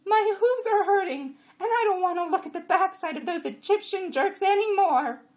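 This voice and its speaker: Shrill, bossy female donkey. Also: lively intonation, moderate pace, a little mellow. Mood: exasperated complaining. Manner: braying, commanding, complaining. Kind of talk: audiobook character voice.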